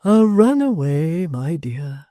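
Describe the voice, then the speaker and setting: A reader voicing a gentle, kindly middle-aged locksmith in a storybook reading, speaking earnestly. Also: male voice, fairly high pitch, very animated, slow, dry recording, studio quality, pitched above the performer's own voice.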